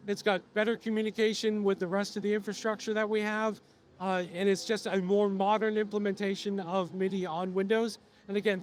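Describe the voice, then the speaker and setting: High-pitched hoarse man presenting. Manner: steady explanatory delivery with frequent "uh" fillers and restarts. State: losing his voice, coughing now and then. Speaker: software company presenter. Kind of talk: product presentation to an audience. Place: presentation room at a music trade show.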